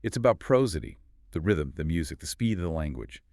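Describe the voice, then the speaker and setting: Male podcast co-host, very deep voice, measured explainer, dry close sound. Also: big pitch swings, a little mellow, dry recording. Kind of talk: scripted podcast conversation.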